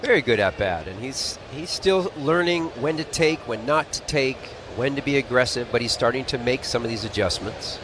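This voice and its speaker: A male American color commentator talks through a baseball game in a conversational, analytical way. His voice is medium in pitch, with clear outdoor ambient noise behind it. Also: animated, moderate pace, few pauses. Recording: clearly noisy live outdoor broadcast.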